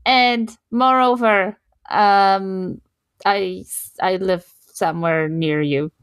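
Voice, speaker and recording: A woman's voice: medium pitch, steady tone, slow, clear, resonant tone, no room sound, highs cut off. A woman chatting casually as a podcast player, playful and joking. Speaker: podcast cast member and player. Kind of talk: casual chat.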